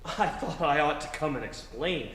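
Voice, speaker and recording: A man acting a stuffy, priggish, wounded fiancé in a 1940s-style radio comedy, stiff and halting. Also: fairly high pitch, animated, fairly bright tone. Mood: indignant, awkward. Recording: echoey hall, live stage reenactment.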